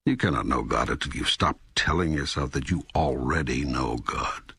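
God as an all-knowing teacher in an audiobook dialogue. The voice is extremely low and heavily gravel-rough, recorded dry and close. Each phrase is deliberate and emphatic, with patient authority. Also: male voice, a bit bright, no room sound, exaggerated voice. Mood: firm, instructive.

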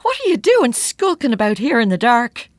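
A woman's voice: a little high, very animated, moderate pace, dry recording, studio quality. An actress playing a bitter, defensive middle-aged widow in an audio drama, curt and sarcastic with her student child. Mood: defensive, startled. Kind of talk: naturalistic audio drama acting.

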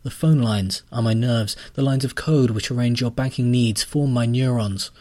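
A man voicing a sentient bank computer that speaks in a calm, grandiose, self-important way. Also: mid-range voice, no room sound, voice pushed up, close to an everyday voice. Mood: lofty. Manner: measured, lofty, pompous.

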